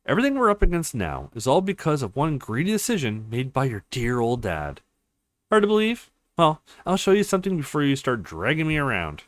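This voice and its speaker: A bitter young woman fighter from a visual novel, read by a man in his male register. The delivery is blunt and reproachful, with pitch that rises and falls freely. It is recorded dry. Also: wildly swooping pitch, fairly quick, no room sound. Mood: grim revealing.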